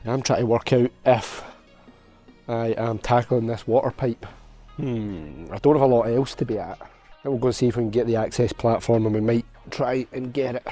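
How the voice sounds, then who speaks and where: A man's voice: mid-range voice, fairly even intonation, normal speed, mellow, faint music behind. A young man with a sore, fading voice, chatting casually to a handheld camera as a vlog host in a large warehouse. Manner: chatty, upbeat, talks while working. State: hoarse, losing his voice. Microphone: handheld camera at arm's length.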